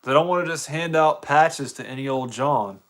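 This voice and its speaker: A man's voice: fairly high pitch, normal speed, a bit bright. An American man chatting casually with viewers on a live game stream, laid-back, slangy and joking.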